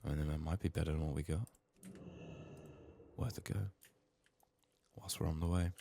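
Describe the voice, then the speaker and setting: A man with a sick, strained voice murmuring soft-spoken ASMR gaming commentary, sometimes near a whisper, thinking aloud close to the mic. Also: fairly even intonation, normal speed, slight background noise. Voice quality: husky, gravelly, very low. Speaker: game streamer making a playthrough.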